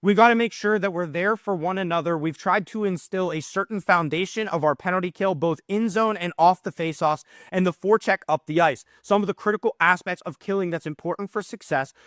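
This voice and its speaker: A man speaking as a hockey coach, giving measured remarks to the press in a steady, methodical way. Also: sits high, no room sound, clean studio sound.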